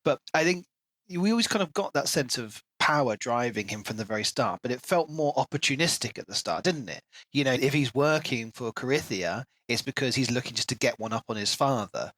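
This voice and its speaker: A man's voice: mid-range voice, animated, fairly quick, a bit bright, no echo, rough recording. A man co-hosting a podcast, chatting analytically and conversationally about a book. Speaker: podcast co-host. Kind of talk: casual book discussion.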